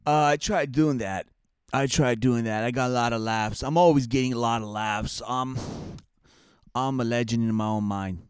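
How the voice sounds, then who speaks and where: A man's voice: medium pitch, normal speed, clear, resonant tone, dry recording, studio quality. A man with an Armenian accent co-hosting a comedy podcast, chatting casually in a rambling, mock-boastful way. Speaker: comic and podcast co-host.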